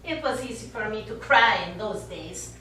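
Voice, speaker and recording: An actress on stage playing a middle-aged Italian war survivor, bitter and wounded, speaking deliberately and accusingly. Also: female voice, mid-range voice, expressive, bright, forceful tone. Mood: bitter, pained. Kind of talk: stage play acting. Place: small theatre stage. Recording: echoey theatre stage, distant mic, faint noise.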